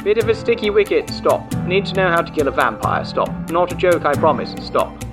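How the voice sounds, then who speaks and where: A man acts as a Victorian gentleman dictating a telegram, urgent and brisk, in an audio drama. The delivery is clipped and emphatic, pitched above the performer's usual voice. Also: sing-song, recorded from a distance, music in the background. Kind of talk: period audio drama acting.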